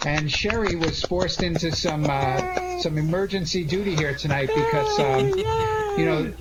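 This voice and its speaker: A man's voice: a little high, huge pitch swings, a little slow. A man hosting a live radio show, talking effusively and conversationally with guests over a call. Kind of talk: live interview with cast after a show. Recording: faintly noisy live internet call.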